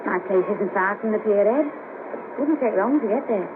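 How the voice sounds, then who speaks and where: A woman's voice: medium pitch, animated, normal speed, narrow, tinny audio, lightly acted. An actress playing a chirpy, bawdy, down-on-her-luck streetwalker of about thirty with a Liverpool accent, in a colloquial 1970s radio play. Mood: coaxing. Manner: colloquial, cheeky, earthy. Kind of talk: 1970s radio drama acting. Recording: patchy noisy cassette of 1970s radio broadcast.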